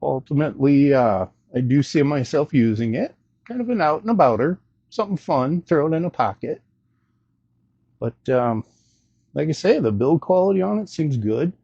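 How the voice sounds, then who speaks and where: A man's voice: on the high side, lively intonation, moderate pace, vocal fry, dark tone, breathy edge, no room sound. A man casually talking through an unscripted gadget review as host, in a rambling, hesitant, self-deprecating way, on a slightly dull recording.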